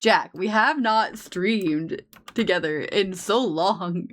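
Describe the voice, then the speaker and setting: Playful woman streamer, mid-pitched. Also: slow. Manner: playful, laughs easily, short backchannels. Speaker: streamer host. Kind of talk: casual stream chat.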